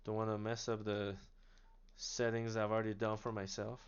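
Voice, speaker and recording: A man casually demonstrating radio gear, chatty and animated, laughing now and then as he explains. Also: lowish voice, moderate pace, smooth, muffled recording. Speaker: product demonstrator. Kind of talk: casual product demo.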